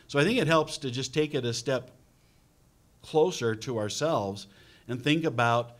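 A man's voice: mid-range voice, a little slow. A middle-aged man speaking as a panelist in a university lecture room, earnest and thinking aloud, into a table microphone. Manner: earnest, repeats words while thinking aloud. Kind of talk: panel discussion. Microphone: table mic on stand.

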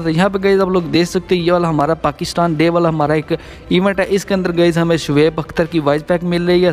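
A man's voice: high voice, a little mellow. A man hosting a gaming channel, explaining things step by step in a tutorial voice-over and addressing viewers as guys.

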